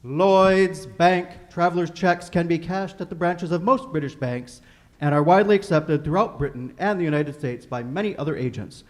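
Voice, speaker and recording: Man as a higher-voiced 1940s radio announcer. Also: moderate pace, close to an everyday voice. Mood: persuasive. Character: polished male 1940s radio announcer and sponsor pitchman.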